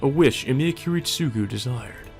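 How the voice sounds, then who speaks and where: A man voicing a cold, bitter magus from a visual novel in measured, solemn declarations. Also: medium pitch, expressive, soft background music, natural voice. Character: cold, bitter adult male magus recounting a grim past. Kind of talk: visual novel character reading.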